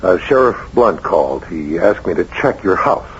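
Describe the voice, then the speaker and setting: An actor in an old-time radio drama playing a polite but firm police chief, official and slightly hesitant. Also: male voice, sits low, very animated, normal speed, slight background noise. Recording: dull old radio broadcast.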